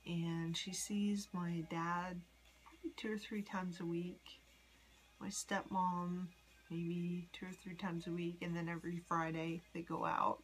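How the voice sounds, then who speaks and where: A woman in her forties from the United States, rambling in a personal vlog with many ums and soft whispery asides. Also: on the low side, flat delivery, unhurried, poor audio. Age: 40s. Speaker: vlogger. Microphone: phone.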